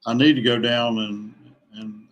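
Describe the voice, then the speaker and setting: A man with a medium-pitched voice talks casually as an angler guest on a podcast. His delivery is folksy and halting, and he often repeats words as he works toward his point. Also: flat delivery. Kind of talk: casual chat.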